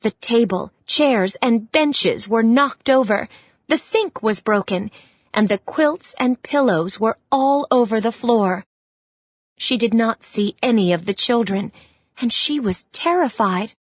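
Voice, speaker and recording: A woman reads a children's storybook at a slow, measured pace. Her voice is fairly high and very expressive in pitch, recorded close and dry. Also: no echo. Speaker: storybook narrator. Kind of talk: scripted children's read-along narration.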